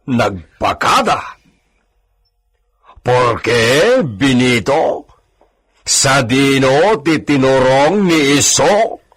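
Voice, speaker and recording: Radio drama imperious male landowner: husky, slow delivery, big pitch swings, suspicious, hot-tempered. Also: very animated, fairly bright tone, heavily acted. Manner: imperious, sprinkles speech with Spanish exclamations. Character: domineering, suspicious rich landowner husband, quick to rage.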